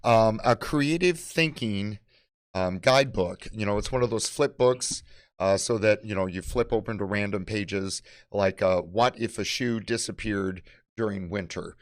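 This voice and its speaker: Give this presenter a lowish male voice with a halting, filler-strewn delivery. He talks off the cuff as he shows products. The sound is very dry, with no room to it. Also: no echo. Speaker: presenter of library program kits.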